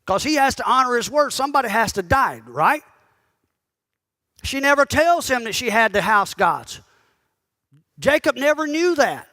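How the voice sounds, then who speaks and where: A man's voice: very high-pitched, sing-song, moderate pace, pauses often, pushed and bright. A male pastor giving a sermon in a conversational style, calling out to his congregation and asking them pointed questions. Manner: conversational preaching that calls out to the congregation; asks the listeners pointed questions. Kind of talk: sermon teaching.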